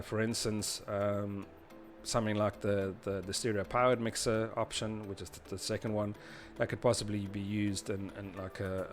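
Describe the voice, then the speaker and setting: A man presenting an informal audio engineering tutorial, explaining step by step in a halting way and often rephrasing himself. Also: on the low side. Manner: hesitant, halting delivery with repeated rephrasing. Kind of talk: informal explanatory tutorial narration over diagrams.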